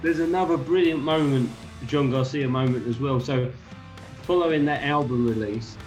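Band guest, male, from England, lively anecdotal telling over faint background music. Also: mid-range voice, normal speed, poor audio. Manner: animated anecdotes, quotes others. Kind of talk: casual interview storytelling.

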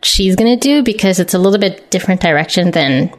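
A woman's voice: fairly low pitch, expressive, quick pace. A woman hosting a show, reading out news and announcements in an informal, chatty style. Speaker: podcast host. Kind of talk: casual live show news chat.

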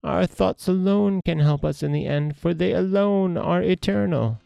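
A man's voice: high-pitched, moderate pace, vocal fry, dark tone, clear, resonant tone, dry recording, lo-fi sound, faint music behind, pitched above the performer's own voice. A man voicing a stern, wise old fairy woman from a storybook, chiding solemnly. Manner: solemn, admonishing. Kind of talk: storybook character voice.

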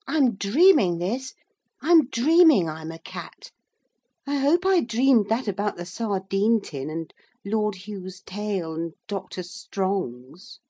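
A woman's voice: mid-range voice, big pitch swings, takes it slowly, mellow, slightly rough, voice pushed up, full character voice. A woman voicing a stubborn, indignant young boy turned into a cat in a children's story, plucky and protesting. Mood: bewildered insistence. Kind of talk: children's storybook character reading.

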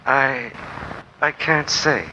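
A man's voice: fairly high pitch, extremely slow, heavily acted. An actor playing a mild, trusting little man, earnest and proper in his speech, in an old radio drama. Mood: dazed. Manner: soft-hearted, earnest, proper diction. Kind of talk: 1940s radio drama acting. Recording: dull, faintly noisy 1940s radio recording.

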